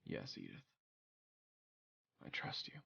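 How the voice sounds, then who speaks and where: A man's voice: takes it slowly, pushed and bright, highs cut off. A man playing an earnest, easily flustered young hero who also narrates a fantasy audio drama. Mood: uneasy. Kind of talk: audio roleplay acting with first-person narration.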